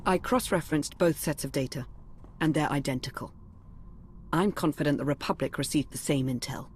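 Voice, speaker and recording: Measured, serious intelligence adviser played by a woman. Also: deep voice, a little hiss or hum, lightly acted. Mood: concerned, focused. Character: earnest, analytical female intelligence adviser. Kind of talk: dramatic video game acting.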